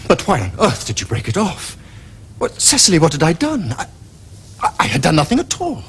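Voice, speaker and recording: An actor playing an ardent young Victorian gentleman suitor in a period comedy, with earnest, flowery, theatrical Received Pronunciation. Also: on the high side, huge pitch swings, fairly quick, husky, exaggerated voice. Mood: bewildered, hurt. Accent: English Received Pronunciation. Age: young adult. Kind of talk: period stage comedy acting for television.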